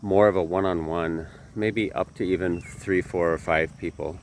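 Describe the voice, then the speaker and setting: A man with a very low, slightly nasal voice talks casually about teaching yoga. He rambles in an earnest way and keeps correcting himself as he goes. Faint crickets and outdoor country ambience sit behind him. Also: steady tone, moderate pace, slight background noise. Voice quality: nasal from a sinus infection. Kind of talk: casual talk to camera.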